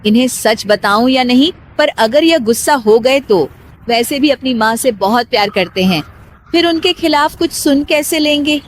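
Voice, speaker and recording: A woman voicing a dutiful, put-upon young daughter-in-law, polite but quietly exasperated, in a cartoon story. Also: high-pitched, animated, normal speed, slight background noise. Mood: worried.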